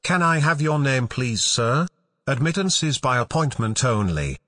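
A man's voice: mid-range voice, very animated, unhurried, a bit bright. A man voicing a stiff formal guard.

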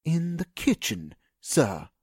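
Guy doing a polite jittery butler type for radio drama, husky, drags words out, pitch jumps all over. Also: big pitch swings, slow, a little mellow, dry recording, studio quality, heavily acted. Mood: nervous, flustered. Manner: formal, hesitant, very polite. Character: deferential nervous male household servant.

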